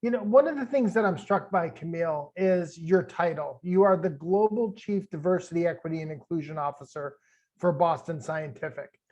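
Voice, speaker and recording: A man hosting an online interview, conversational and thoughtful, with halting fillers. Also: a little high, a little mellow, highs cut off. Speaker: interview host.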